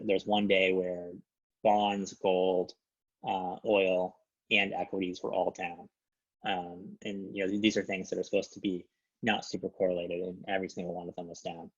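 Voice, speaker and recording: On a dull, lo-fi conference call, a man gives an informal market briefing. His voice is very deep and flat, and somewhat husky as he talks through the figures. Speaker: investment firm presenter.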